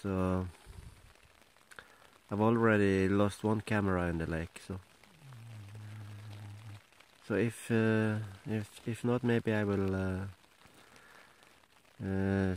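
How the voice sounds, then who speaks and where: Slow, halting man with Norwegian accent. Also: sits low, flat delivery, clear, resonant tone, rough recording. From Norway. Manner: halting, many fillers and restarts. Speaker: outdoor vlogger. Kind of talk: casual camping vlog to camera. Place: inside a small tent, then outdoors by a lake. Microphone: handheld camera at close range.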